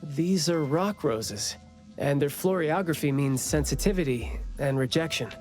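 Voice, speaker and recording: A man's voice: fairly high pitch, measured pace, darkish tone, soft background music, lightly acted. An actor playing a glib, analytical young male investigator with a teasing wit in a video game. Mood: thoughtful, breezy. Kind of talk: English video game voice acting.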